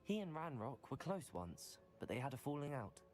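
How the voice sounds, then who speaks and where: A calm teenage student hero acted by a man in a fantasy game. He speaks earnestly and plainly at a medium male pitch, with faint music behind him. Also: normal speed, lightly acted. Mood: earnest report. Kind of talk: scripted video game acting.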